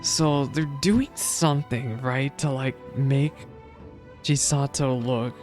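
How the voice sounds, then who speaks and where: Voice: mid-range voice, fairly even intonation, takes it slowly, a little mellow, faint music behind. A game streamer giving casual reaction commentary in a playful, self-deprecating way.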